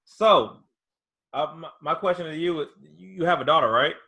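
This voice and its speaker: A man's voice: fairly high pitch, moderate pace, pushed and bright. A man co-hosting a podcast, teasing and joking in casual chat. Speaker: podcast co-host.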